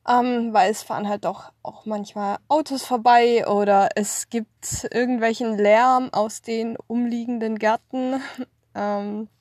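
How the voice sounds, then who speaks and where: A woman's voice: a little high, steady tone, unhurried, a little mellow, dry recording, studio quality. Chatty woman in her thirties from Swabia. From Swabia, Germany. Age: 30s. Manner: rambling, with many fillers and laughs. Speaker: podcast host. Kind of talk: unscripted personal podcast monologue. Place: in a car.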